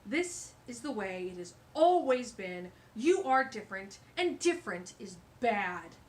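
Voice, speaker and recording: A woman voicing a bossy, self-important male stink bug in a children's storybook, shouting emphatically and domineeringly, with some noise behind. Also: medium pitch, very animated, measured pace. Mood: bossy, angry.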